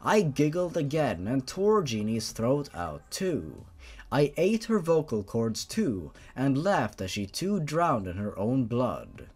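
Highly animated man reading a story. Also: takes it slowly, husky. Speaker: host reading a fan horror story aloud. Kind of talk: dramatic story narration.

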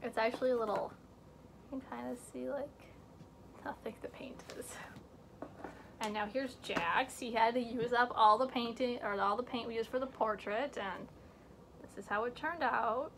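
A high-pitched teenage girl chats and jokes through her home vlog, dropping to hushed whispers as she holds things up to the camera. She is recorded close on a built-in computer mic, with faint background noise. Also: normal speed, creaky. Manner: chatty, joking, self-mocking asides. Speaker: vlog host. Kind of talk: casual chat. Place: indoor home room with shelves.

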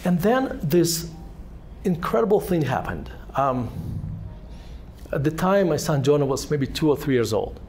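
A man's voice: a little high, very animated, measured pace, darkish tone, a little hiss or hum. A man in his forties with a Russian accent, speaking as a guest at a fireside chat in a synagogue hall, telling rambling self-deprecating stories full of restarts. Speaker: author and guest. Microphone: handheld microphone.

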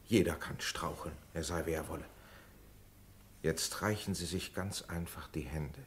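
Radio drama casting: reassuring, loyal gentleman, very low voice, measured and earnest. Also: a little hiss or hum. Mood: conciliatory. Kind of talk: 1960s German radio drama acting.

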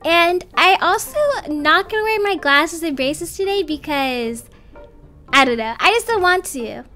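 A woman narrating an animated story as a video creator, chatty, girlish and excitable. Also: high-pitched, a little slow, soft background music.

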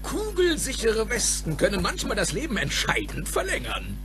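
An actor playing an eccentric, excitable older male inventor in an audio drama, speaking with urgent, theatrical emphasis. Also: high voice, normal speed, full character voice. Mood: cheerful relief. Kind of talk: German audio drama acting. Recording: dramatic music under the voice.

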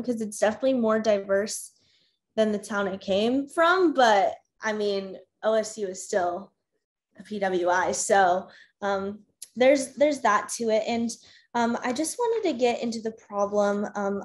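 A young American woman hosting a casual video-call discussion, talking with many fillers and soft laughs. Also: on the high side. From United States. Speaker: discussion host. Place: home room with bookshelves. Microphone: webcam on a video call.

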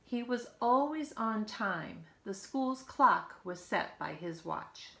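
A woman's voice: mid-range voice, animated, takes it slowly, creaky, sharp tone. A woman in her fifties reads a picture book aloud to young children, expressively, with chatty asides, recorded at home. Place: living room at home, by a window.

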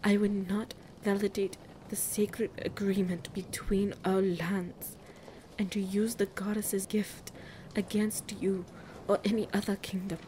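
A woman's voice: mid-range voice, darkish tone, slight background noise, natural voice, low-quality recording. A woman playing a grave, mystical female ruler in an audio roleplay, speaking formally and breathily as she pleads for her people.

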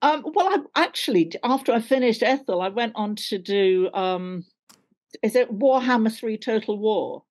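A woman's voice: a little high, moderate pace, a little mellow. An older woman chatting casually as an interview guest on a video call, with a wry, self-deprecating manner. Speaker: guest, actor.

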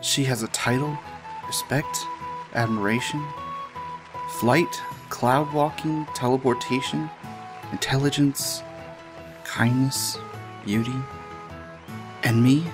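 A man's voice: medium pitch, takes it slowly, pauses often, music in the background, close to an everyday voice. A man voicing a self-pitying, sardonic young male pony in a cartoon comic dub. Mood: brooding, wistful. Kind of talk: comic dub, dramatic monologue and dialogue.

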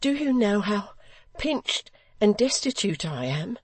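A man's voice: high-pitched, animated, measured pace, pitched above the performer's own voice. A man voicing a frightened, destitute widow in a storybook, pleading in an anguished manner. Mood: desperate pleading.

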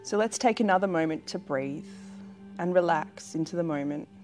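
A woman with a fairly low voice guides a relaxation meditation. She speaks gently and calmly, taking her time and pausing often between short phrases. Music plays clearly behind her. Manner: gentle, calm, unhurried with long pauses. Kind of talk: guided pregnancy relaxation meditation, spoken slowly and soothingly. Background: background music.

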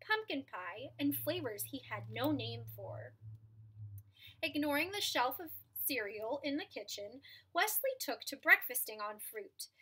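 A woman's voice: high voice, sharp tone, breathy edge, rough recording. A young woman reading a picture book aloud at home into a webcam, stopping to explain the pictures to children. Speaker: read-aloud reader. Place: small room at home, indoors. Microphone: webcam at close range.

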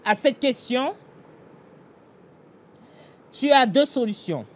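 A man's voice: extremely high pitch, animated, takes it slowly, slight background noise. A middle-aged man pastor giving an earnest devotional meditation and prayer, speaking straight to the listener in a small indoor room. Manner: earnest, direct address to the listener, emphatic gestures. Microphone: facing a fixed camera, mid-shot.

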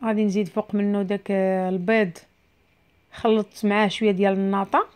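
A woman's voice: mid-range voice, little pitch movement, quick pace, a little mellow, clear, resonant tone. A middle-aged woman with a Moroccan Arabic accent, chatting through each cooking step in a home cooking voice-over. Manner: chatty, explains each step as she cooks. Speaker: cooking vlogger.

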